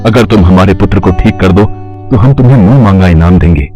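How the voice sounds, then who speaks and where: A man's voice: deep voice, fairly quick, mellow, lo-fi sound, soft background music, close to an everyday voice. A man playing a formal, regal king and father in a moral storybook tale. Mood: worried. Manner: formal, regal, speaks as royal "we". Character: worried, grateful, stern old-fashioned king and father. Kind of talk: Hindi moral storybook acting.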